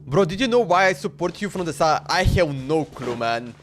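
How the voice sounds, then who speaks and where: A man's voice: fairly high pitch, animated, normal speed, faint music behind. A man chatting casually on a game livestream in an informal, slangy, repetitive way. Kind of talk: casual stream chat.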